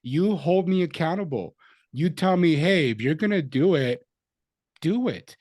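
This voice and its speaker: A man hosting a casual interview, speaking in a halting way and restarting his sentences. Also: fairly high pitch, expressive, darkish tone, no room sound, studio quality. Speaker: interview host.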